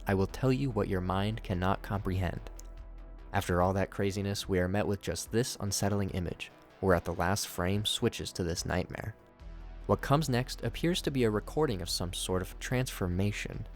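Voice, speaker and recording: Male narrator, lower register, scripted review commentary, light music bed underneath. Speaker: YouTube narrator reviewing horror series.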